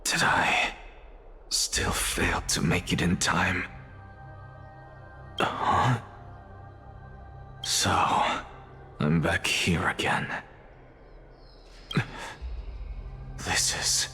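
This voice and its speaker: A man voicing an aloof, curious, self-reliant scholar in a video game, musing to himself in a hushed, detached way. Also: sits low, little pitch movement, very slow, gravelly, pauses often, heavily acted. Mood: dazed, hushed. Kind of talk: scripted game voice acting, hushed soliloquy. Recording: background music under the voice. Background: music.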